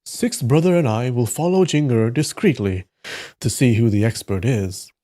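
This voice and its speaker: Cautious male martial arts master, read by a man, conspiratorial and deliberate, soft dark tone, storybook. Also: medium pitch, expressive, close to an everyday voice. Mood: suspicious, plotting.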